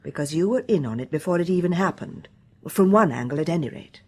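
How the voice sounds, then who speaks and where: Casting: firm, practical British woman, radio drama, husky medium pitch, animated delivery, insistent. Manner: brisk, insistent. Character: brisk, determined English female organiser, firm and practical. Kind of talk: classic radio drama acting.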